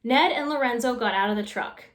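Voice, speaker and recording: A woman's voice: fairly high pitch, brisk. A woman reading a children's story aloud expressively, as a storytime reader. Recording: roomy echo.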